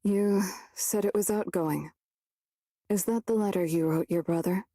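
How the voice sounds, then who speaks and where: A woman's voice: mid-range voice, unhurried, dark tone, no echo, studio quality. A woman voicing a reserved, mysterious young maiden in a visual novel, formal and coolly direct in her questions. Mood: cool, probing.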